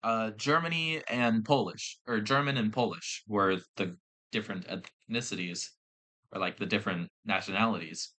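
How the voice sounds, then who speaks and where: Young southern dude co-hosting a podcast, laughs and swears a lot, bright tone. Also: male voice, fairly low pitch, moderate pace. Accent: American Southern. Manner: animated, swears often, laughs a lot, acts out quotes. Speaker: podcast co-host; fast-food worker. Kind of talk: casual chat, storytelling.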